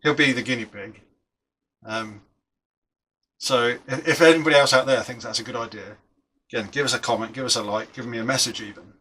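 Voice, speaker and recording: A man's voice: mid-range voice, fairly quick, sharp tone, a little hiss or hum, low-quality recording. A middle-aged man chatting casually while building a model aircraft, rambling and often restarting his sentences.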